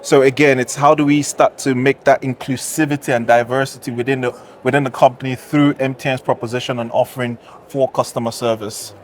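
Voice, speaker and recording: A man from Nigeria, a tech founder and guest, explaining his business in a measured, list-like way during an interview at a conference. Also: medium pitch, fairly even intonation.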